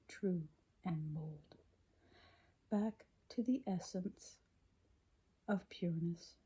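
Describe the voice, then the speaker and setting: A woman reading poetry in a gentle, deliberate, breathy ASMR whisper. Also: fairly low pitch. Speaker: poetry reader.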